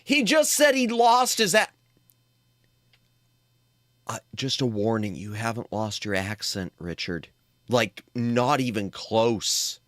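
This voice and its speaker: A man commentating on a game live for his chat, joking and animated. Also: mid-range voice, takes it slowly, long pauses, no echo. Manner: jokey, animated, talks to chat. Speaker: streamer.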